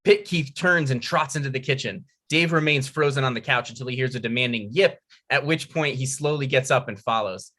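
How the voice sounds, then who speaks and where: A man's voice: mid-range voice, brisk, bright, forceful tone. A man reading out scripted stage directions as narrator at a comedy table read.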